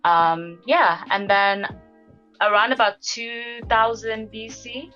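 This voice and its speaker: A woman's voice: a little high, fairly even intonation, slow pace, pushed and bright, highs cut off, faint music behind. A woman with a British accent, born in Zimbabwe and raised in the UK, who explains history at length as a podcast guest, with many fillers.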